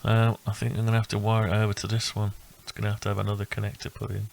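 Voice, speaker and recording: Male hobbyist presenter: low, fry-heavy voice, very even intonation, casual halting walkthrough. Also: a little hiss or hum. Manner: thinking aloud, halting and rambling. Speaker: electronics hobbyist presenting own build. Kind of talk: casual unscripted project walkthrough.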